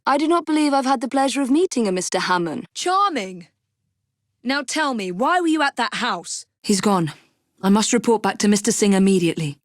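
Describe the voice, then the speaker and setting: A woman acting as a young Victorian assassin in a video game, with an English accent and crisp, formal, composed diction. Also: medium pitch, no room sound, clean studio sound, lightly acted. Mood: firm, brisk. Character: young female Victorian assassin, brisk, poised and determined. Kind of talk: scripted game character dialogue.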